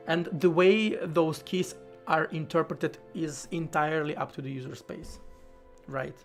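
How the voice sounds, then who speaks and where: A man live-streaming while he codes, thinking aloud in a halting way, with many fillers and restarts. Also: on the high side, fairly even intonation, vocal fry, faint music behind. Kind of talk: casual live-stream talk while coding.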